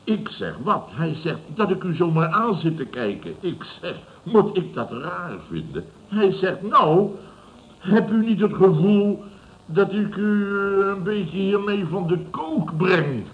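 An actor playing a scornful, blustering fifty-year-old building contractor boss in an old radio comedy, mocking and repeating himself. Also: male voice, high-pitched, expressive, takes it slowly, full character voice. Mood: indignant storytelling. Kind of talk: Dutch radio comedy acting around 1970. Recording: dull, noisy old radio recording.

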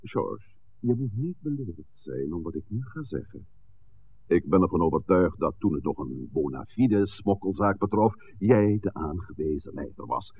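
A man playing a suave, scheming village pastor in a 1960s radio drama, speaking in formal, measured, courteous sentences. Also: sits low, animated. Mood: grave, diplomatic. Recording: muffled, noisy old radio recording.

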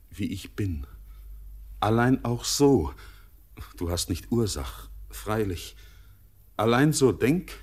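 A haunted young wanderer in an old radio play. He speaks very slowly in a lowish voice, brooding and solemn, shaping each phrase like verse. He sounds yearning and drawn to the depths. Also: darkish tone. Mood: yearning, melancholy. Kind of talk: 1940s German radio drama acting. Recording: 1949 German radio play recording.

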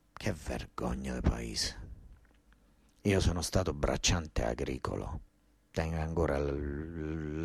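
A man's voice: extremely low pitch, no room sound, voice pushed down, heavily acted. An actor playing an angry, coarse old peasant father with a southern Italian accent, in a theatrical monologue full of repetition. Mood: disgusted, then bitter, weary. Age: older. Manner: coarse dialect, repetitive, exclamatory.

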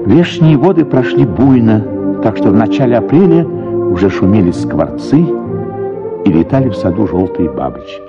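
A man reading a first-person literary story for radio in an expressive, reflective manner, with drawn-out words and pauses. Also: on the low side. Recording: slightly dull radio sound, music behind. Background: soft music.

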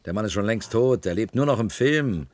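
An actor playing a coarse, needling, grudging householder in a German radio play, talking in a blustering colloquial way. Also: male voice, lowish voice, expressive, brisk, no room sound, close to an everyday voice. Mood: dismissive.

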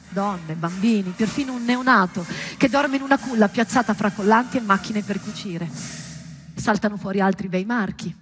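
A woman performing a scripted theatrical monologue, storytelling with deliberate pauses and emphatic, dramatic delivery. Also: medium pitch, slight background noise. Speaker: stage performer.

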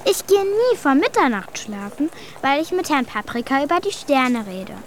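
A woman's voice: high-pitched, big pitch swings, moderate pace, slight background noise. A woman playing a plucky, stubborn young girl with a childlike, plain-spoken manner in a German children's radio play. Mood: self-assured.

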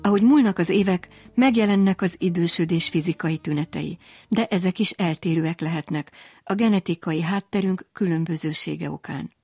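Low-pitched woman presenting over music. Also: darkish tone. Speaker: radio science programme host. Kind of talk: scripted narration.

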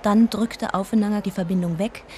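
An actress as an observant deaconess narrating a crime radio play. Her voice sits at a medium female pitch, with clearly audible ambience behind it. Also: fairly even intonation, fairly quick, dark tone, a little hiss or hum, lightly acted. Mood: earnest, recounting. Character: devout, observant deaconess recounting a crime story. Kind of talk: scripted radio drama narration.

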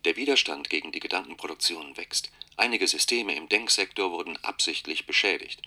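An actor playing a secretive informant in a German radio drama, terse and conspiratorial, with a husky, forceful voice. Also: male voice, sits low, expressive, brisk, exaggerated voice. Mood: urgent, secretive. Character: secretive male informant passing on grave warnings.

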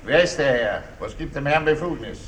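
Stern barking police commissioner, played by a man, high-voiced. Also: expressive, a little fast, natural voice. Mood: stern, suspicious. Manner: commanding, interrogating. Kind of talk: theatrical German radio play acting. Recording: roomy, slightly distant, hissy radio recording.

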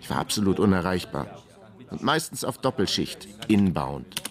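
A man's voice: low voice, very animated, normal speed. An actor playing a wry, broke ex-detective in his forties, narrating a radio crime drama in a laconic, self-mocking way. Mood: dry, weary. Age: 40s.

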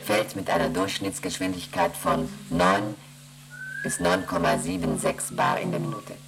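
A man voicing an emotionless onboard computer in a radio play, reading out readings and rules in a flat, mechanical way. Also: sits low, moderate pace, gravelly, over music, exaggerated voice. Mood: flat reporting. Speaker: ship's computer.

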